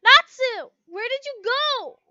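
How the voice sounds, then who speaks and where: A woman's voice: extremely high pitch, animated, slow, pushed and bright, no echo, highs cut off, voice pushed up, full character voice. A woman voicing a flustered, stubborn teenage heroine in a story, hesitant and defensive. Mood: exasperated.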